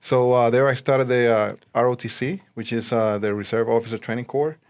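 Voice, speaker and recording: A man in his forties with a Puerto Rican accent speaks as an interview guest. His pitch sits in the middle range for a man. He talks in a conversational way, telling his own story. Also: steady tone, brisk, clean tone. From Puerto Rico. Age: 40s. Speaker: interview guest, realtor and army veteran.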